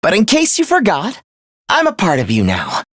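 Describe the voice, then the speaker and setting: A man's voice: high voice, huge pitch swings, normal speed, a bit bright, no echo, clean studio sound, exaggerated voice. A young man playing a smug, mocking villain in a video game, with a condescending, theatrical delivery. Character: taunting, smug young villain living in someone's head. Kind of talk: video game character acting.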